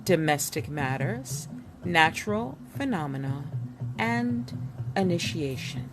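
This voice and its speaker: A woman reading a history book aloud expressively, with theatrical emphasis and pauses. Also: deep voice, very animated, background noise. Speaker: book reader. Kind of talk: expressive read-aloud of an illustrated history book, with spoken asides. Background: music.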